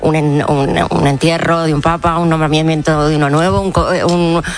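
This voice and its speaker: A woman's voice: low-pitched, fairly even intonation, normal speed. Chatty woman radio commentator. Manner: chatty, with many restarts. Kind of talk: live radio panel chat.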